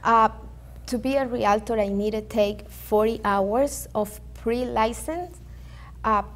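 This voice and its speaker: A woman from Venezuela with a Venezuelan Spanish accent, answering interview questions carefully and haltingly. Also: fairly high pitch. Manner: careful, halting, with fillers and restarts. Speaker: real estate agent guest.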